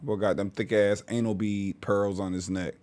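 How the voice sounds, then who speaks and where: A man's voice: lowish voice, normal speed, over loud music. A man hosting a reaction video, joking and swearing in casual commentary. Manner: joking, animated, profane.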